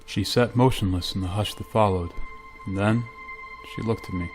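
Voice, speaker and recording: Weary space pilot, male actor, radio drama. Fairly low, measured and somber, light music bed. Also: lightly acted. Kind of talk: radio drama acting and first-person narration.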